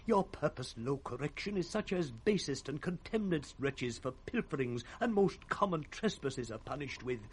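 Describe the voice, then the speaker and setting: A man's voice: fairly high pitch, lively intonation, normal speed, few pauses, slightly rough. An actor playing a courteous, anxious nobleman pleading formally in 1960s British radio Shakespeare. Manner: earnest, formal. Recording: faintly noisy old radio recording.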